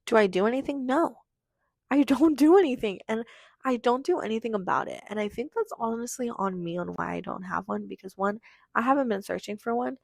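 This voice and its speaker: A teenage girl hosting her own podcast, chatting in a rambling, confessional way with lots of fillers, her voice hoarse from losing it. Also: on the high side, expressive, a little fast, no room sound, studio quality. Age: teenager in high school. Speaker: podcast host. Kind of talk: casual confessional monologue.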